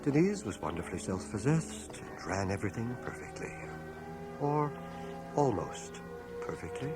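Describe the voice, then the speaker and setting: Low-voiced, reflective older man, music behind. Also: very animated. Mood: wry. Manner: literary, measured, dryly ironic. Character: reflective, wry, bookish older man narrating his life. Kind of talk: radio drama first-person narration. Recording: 1980 radio drama home cassette transfer, music behind.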